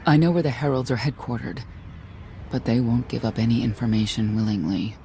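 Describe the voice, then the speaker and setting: An actor playing a stern, world-weary knight guide in a video game, speaking in a measured, grim way. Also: male voice, medium pitch, creaky, dark tone, a little hiss or hum, natural voice.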